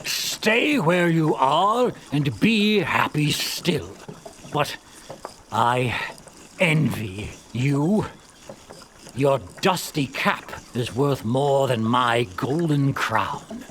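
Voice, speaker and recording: A man's voice: medium pitch, animated, drawn-out words, fairly bright tone, a little hiss or hum, voice pushed down. A man voicing a grand, melancholy king in a storybook tale, speaking in a stately, emphatic, wistful way. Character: grand, melancholy king envying a humble miller. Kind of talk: storybook character voice.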